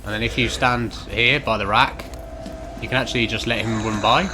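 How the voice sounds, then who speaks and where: A man's voice: lowish voice, steady tone, brisk. A man giving casual video game walkthrough commentary in an instructional, matter-of-fact way. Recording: game noise behind commentary.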